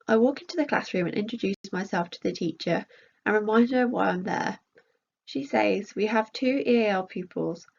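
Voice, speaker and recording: A woman's voice: medium pitch, gravelly, a little mellow, slightly rough, muffled recording. A woman reading out a scripted academic conference presentation as a researcher.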